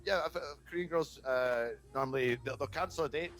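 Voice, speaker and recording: A man chatting casually as a podcast guest in a halting, self-mocking, jokey way. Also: mid-range voice, a bit bright. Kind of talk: casual chat.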